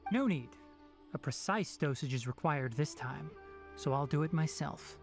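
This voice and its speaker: A man voicing a young, calm and pragmatic fox-eared ranger mentor in a video game, speaking in a measured, precise, articulate way. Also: a little high, soft background music. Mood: composed, reassuring.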